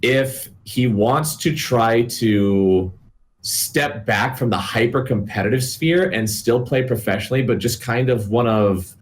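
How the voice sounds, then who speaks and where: A man's voice: medium pitch, a little slow, slight background noise. A man co-hosting a podcast, talking earnestly in a casual analytical chat, hedging often with filler words.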